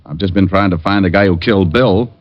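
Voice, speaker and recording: An actor playing a tough, wry, deadpan private detective in a hard-boiled 1950s radio drama. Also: male voice, sits low, fast, close to an everyday voice. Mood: wry, irritated. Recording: dull, faintly noisy old radio recording.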